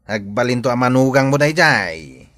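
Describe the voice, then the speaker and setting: A man's voice: mid-range voice, sing-song, moderate pace, fairly bright tone. A man acting a boastful, jealous grown son who scorns his rival, in a Philippine radio drama.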